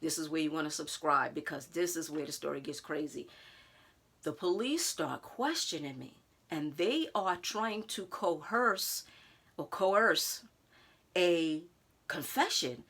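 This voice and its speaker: Lively storytelling woman, slightly low voice. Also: slow, slightly rough. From United States. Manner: animated, talks straight to viewers with "y'all", acts out others' lines. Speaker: vlogger telling a personal story. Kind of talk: casual storytime monologue.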